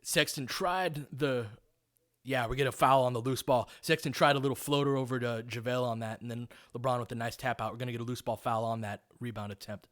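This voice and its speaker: A man's voice: medium pitch, steady tone, fairly quick, a bit bright. A man giving live, informal basketball play-by-play as a partisan fan, breaking down tactics in detail as he goes. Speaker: play-by-play commentator.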